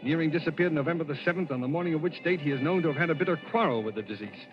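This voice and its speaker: A man's voice: fairly high pitch, rapid-fire, over music, close to an everyday voice. A muffled vintage radio recording carries an actor playing a hunted man accused of murder. He is brooding and insistent, with clipped, tense delivery in the manner of a 1940s thriller. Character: desperate amnesiac man on the run, accused of murder.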